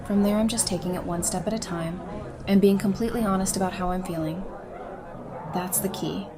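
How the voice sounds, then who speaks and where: Audio drama role: woman plays playful, self-assured young woman, teasing delivery, mid pitch, over a busy party. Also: steady tone, fairly quick, natural voice. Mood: candid, warm. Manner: teasing, wry. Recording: music and party chatter behind. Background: music and crowd chatter.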